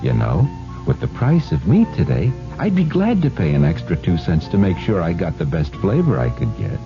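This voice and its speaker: An actor playing a friendly, thrifty husband in a vintage radio commercial. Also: male voice, sits low, wildly swooping pitch, normal speed, over music, heavily acted. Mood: agreeable. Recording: muffled old radio recording.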